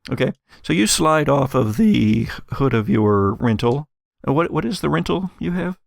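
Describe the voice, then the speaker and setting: Gravelly-voiced man running a tabletop game. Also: medium pitch, very animated, normal speed, no room sound, clean studio sound. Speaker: game master and host. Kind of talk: narrating an actual-play tabletop roleplaying game.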